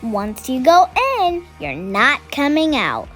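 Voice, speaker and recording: Lady reading as a plucky young superhero guy in a kids book, high voice, urgent, exclaiming. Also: sing-song, slow, a bit bright, soft background music, heavily acted. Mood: defiant. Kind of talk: storybook read-aloud dialogue.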